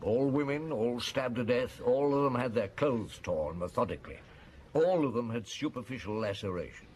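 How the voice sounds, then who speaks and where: Man acting wry voiced police chief, lively intonation. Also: mid-range voice, moderate pace, a little hiss or hum, natural voice. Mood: reminiscing. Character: weary, wry French police chief inspector recalling old cases. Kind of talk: radio drama dialogue and reminiscence.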